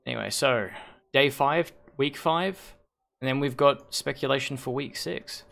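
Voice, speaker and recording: A hoarse man hosting a league stream on a headset mic, rambling and correcting himself as he thinks aloud. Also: medium pitch, measured pace, fairly bright tone. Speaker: league host and shoutcaster. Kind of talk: casual livestream commentary.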